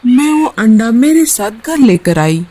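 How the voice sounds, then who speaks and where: A woman's voice: on the high side, sing-song, a little slow, dark tone, slight background noise. A woman playing a kind, caring old grandmother who explains things in a children's cartoon. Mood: kindly. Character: kind old grandmother living by a forest river.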